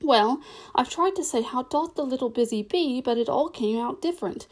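A woman's voice: high-pitched, lively intonation, normal speed, a little mellow, voice pushed up. A woman voicing a storybook little girl who is polite, puzzled and a little hesitant. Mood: uncertain, polite. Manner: polite, shy, hesitant, wordy.

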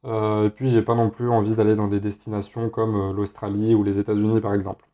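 A young man from France hosting a casual solo podcast, talking in a relaxed way with lots of hesitations. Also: lowish voice, quick pace. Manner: relaxed, many filler hesitations. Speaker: podcast host.

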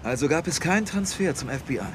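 Man acting an impatient agent, quick pace, lively intonation, clipped, traffic noise behind, dubbed drama. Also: on the high side, close to an everyday voice. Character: brisk, impatient government agent. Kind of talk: German screen dubbing.

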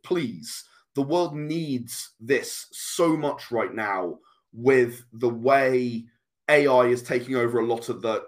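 A man in his late thirties, chatting casually as a podcast guest in an earnest, emphatic way, repeating phrases for stress. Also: mid-range voice. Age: late 30s. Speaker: podcast guest, comic and game creator. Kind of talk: casual conversational interview.

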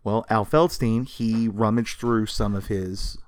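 A man's voice: fairly low pitch, steady tone, measured pace, darkish tone. A man co-hosting a podcast, telling history in a casual, emphatic way with a sarcastic streak and some swearing. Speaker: podcast co-host. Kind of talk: casual storytelling about comics history.

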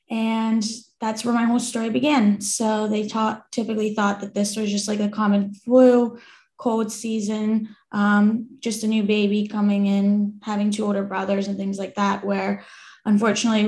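Twenty-something woman from croatia, pretty flat delivery, matter of fact, roomy echo. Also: mid-range voice. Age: 20s. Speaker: guest. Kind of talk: casual interview talk.

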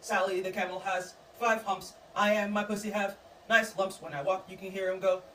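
A woman's voice: mid-range voice, steady tone, normal speed, fairly bright tone. A woman in a played video clip, brazenly reciting a rhyme in a sing-song chant. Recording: distant echoey clip with faint noise.

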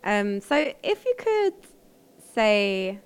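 A woman's voice: mid-range voice, big pitch swings, very slow, smooth. A woman hosting a casual music interview in a slangy, enthusiastic, chatty way. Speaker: interviewer, show host.

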